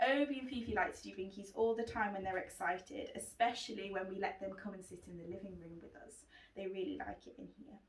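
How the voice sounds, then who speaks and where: A woman's voice: medium pitch, fairly even intonation, fairly quick, hardly any pauses, far from the mic. A woman hosting a children's book club, talking simply and encouragingly to young viewers. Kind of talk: children's presenter talk. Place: living room indoors. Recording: roomy echo, away from mic.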